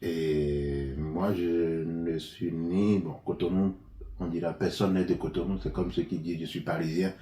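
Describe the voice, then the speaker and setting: A man's voice: deep voice, steady tone, unhurried, warm tone. A man from Benin, an interview guest, answering in a hesitant conversational way with many fillers and restarts. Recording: echoey room, faint noise.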